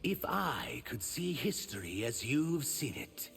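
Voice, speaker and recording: Earnest young reptilian warrior, animated pitch. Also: male voice, slow pace, vocal fry, soft background music, heavily acted. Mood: earnest, guarded. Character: earnest young male reptilian shapeshifter warrior in human form. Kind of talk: video game character dialogue.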